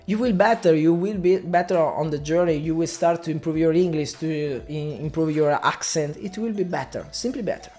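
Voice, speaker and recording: Italian man, halting second-language English. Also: on the high side, warm tone, soft background music. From Italy. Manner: halting non-native English with frequent restarts. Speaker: digital marketing media buyer. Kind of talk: casual talk to camera.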